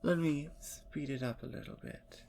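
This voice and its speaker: A woman voicing a playful, talkative female vampire in an ASMR roleplay, teasing and dropping into soft whispers. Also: deep voice, moderate pace, gravelly, slight background noise. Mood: bitter.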